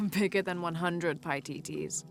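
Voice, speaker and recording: A low-voiced determined explorer. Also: female voice, takes it slowly, soft background music. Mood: focused. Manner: focused, understated. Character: determined young woman adventurer.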